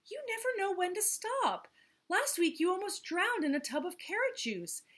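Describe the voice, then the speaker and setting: A woman's voice: extremely high pitch, very animated, normal speed, fairly bright tone, pitched above the performer's own voice, heavily acted. A woman doing a sensible, warning camel. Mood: cautioning. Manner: dry, warning and reasoning. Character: sensible, cautious female camel.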